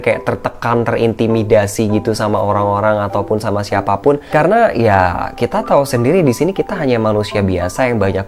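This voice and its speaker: A man with a thin, shrill voice, giving an informal, encouraging educational talk as a content creator, slipping in English phrases. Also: a little mellow. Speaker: content creator and public speaking coach. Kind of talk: casual motivational educational vlog talk.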